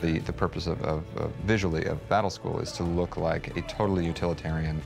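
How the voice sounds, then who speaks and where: A man's voice: low voice, flat delivery, quick pace, creaky. A man speaking informally in a behind-the-scenes interview, thinking aloud as he goes. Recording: music under the voice. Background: music.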